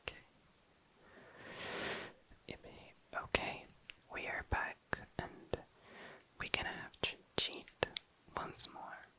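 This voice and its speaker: Slow whispering ASMR host. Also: poor audio. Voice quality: breathy unvoiced whisper. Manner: soft, repeats words soothingly. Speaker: ASMR video creator, host. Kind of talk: whispered ASMR craft commentary. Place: indoors at a desk.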